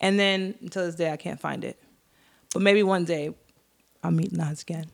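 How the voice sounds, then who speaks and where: A woman from Queens, co-hosting a podcast and telling stories in a relaxed, playful way. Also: lowish voice, creaky. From Queens, New York. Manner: relaxed, playful, drawn-out storytelling. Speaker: podcast co-host. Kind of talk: casual storytelling chat.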